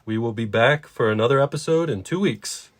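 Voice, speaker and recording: A man's voice: medium pitch, brisk. A man co-hosting a podcast, discussing stories conversationally in an earnest, reflective way. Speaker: podcast co-host.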